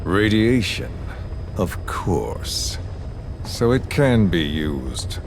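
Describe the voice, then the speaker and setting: Deep, slow-talking cold villain. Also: male voice, sing-song, heavily acted. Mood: cold, impatient. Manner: deliberate, sardonic, coolly formal. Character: cold, menacing, courteous middle-aged male villain mastermind. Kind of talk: dramatic game voice acting. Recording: clearly noisy audio-tape style recording.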